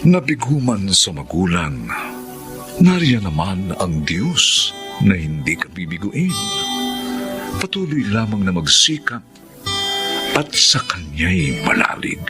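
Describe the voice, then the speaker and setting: A man's voice: deep voice, wildly swooping pitch, takes it slowly, long pauses. A man announcing and narrating a radio drama in a solemn, theatrical, scripted style. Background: loud guitar music.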